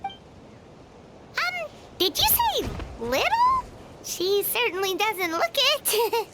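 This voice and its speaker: A woman voicing a small, chatty, excitable female fairy companion in a video game, speaking in a squeaky, childlike way and talking about herself by name. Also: very slow, very noisy, heavily acted. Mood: doubtful. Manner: blurts out reactions, refers to herself by name. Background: game music.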